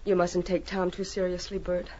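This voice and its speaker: A woman's voice: on the low side, monotone, moderate pace, warm tone, natural voice. An actress playing an earnest, pleading young frontier woman and loyal sister in 1940s radio drama style. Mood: coaxing, earnest. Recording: muffled, hissy old radio recording.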